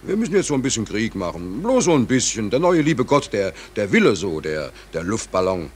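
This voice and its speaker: An actor playing an embittered, hungry merchant seaman in a 1940s German radio play, speaking in a halting, brooding, insistent way. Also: lowish voice, big pitch swings, breathy edge. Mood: bitter, indignant. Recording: noisy 1940s radio drama recording.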